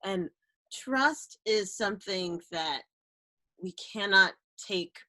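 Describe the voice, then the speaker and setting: Slow, expressive woman podcast host. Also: medium pitch. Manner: affirming, drawn-out questions with soft "mm" responses. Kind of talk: interviewing a guest.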